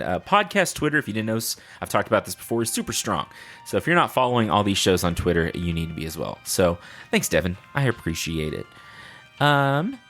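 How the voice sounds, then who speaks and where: A man with a lowish voice and very animated intonation hosts a solo podcast. He talks casually and off the cuff, wandering from point to point with playful, self-deprecating asides. Faint music sits behind his voice. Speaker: podcast host.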